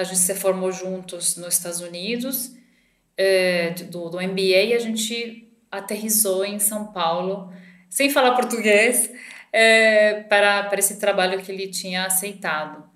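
Middle-aged Argentine-accented woman, interview guest, pauses and restarts often; echoing room. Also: mid-range voice, a bit bright. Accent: Argentine Spanish. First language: Spanish. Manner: thoughtful, frequent hesitations and restarts. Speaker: marketing director guest. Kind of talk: conversational interview answers. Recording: roomy echo.

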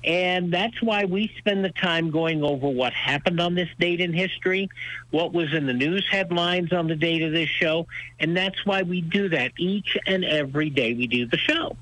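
An older American man with a fairly high voice talks as a guest in a radio interview. His delivery is conversational and folksy, with points stressed firmly. The sound is dry and close, with no room echo. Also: moderate pace, pushed and bright. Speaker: radio show host being interviewed as guest.

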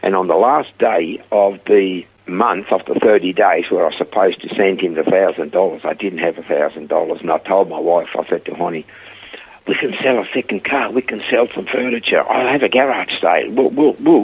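An older man with a Swiss accent tells his tale in a low, gravelly, husky voice, jumping up in pitch to act out the other people in it. The sound is thin and phone-like, as on a radio broadcast. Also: a little fast. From Switzerland. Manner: lively storyteller who acts out the quoted voices. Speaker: guest. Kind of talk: storytelling in a radio interview.

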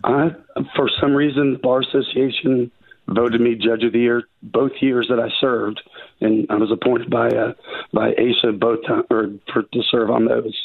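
A man with a raspy voice from a recent illness, calling in as a judicial candidate and talking in a halting way with many restarts. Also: mid-range voice, flat delivery. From Arkansas. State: raspy voice after covid. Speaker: judicial candidate, phone guest. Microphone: phone. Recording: tinny phone line.

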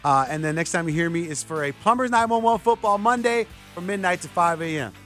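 A man's voice: high voice, lively intonation, a little fast, a bit bright, faint music behind. A man hosting a sports radio show in a casual, conversational, loosely rambling way, close on a studio microphone. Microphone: close broadcast microphone.

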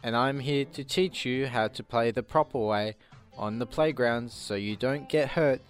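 A man's voice: mid-range voice, unhurried, faint music behind, close to an everyday voice. Man doing an earnest, dim instructional video host. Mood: earnest, upbeat. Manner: repetitive, circular, over-explaining. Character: earnest, slow-witted male DIY instructional video host.

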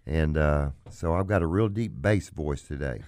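A man hosting a radio show about auctions, a bit hoarse today, talking in a folksy, salesy way. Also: rumbling low, normal speed, a little mellow, no room sound, clean studio sound. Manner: folksy, plugs his business. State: hoarse, frog in throat. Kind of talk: casual radio chat.

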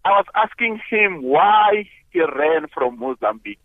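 Caller on a tinny phone line. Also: male voice, a little high, big pitch swings, measured pace, no echo. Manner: hesitant, searching for words. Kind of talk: call-in radio talk.

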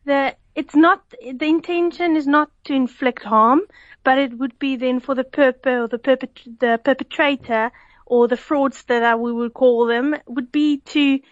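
A woman's voice: sits high, steady tone, measured pace, dry recording. South African woman on a dull phone line. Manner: giggly, explains at length with restarts. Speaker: food fraud researcher guest. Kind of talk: radio phone interview.

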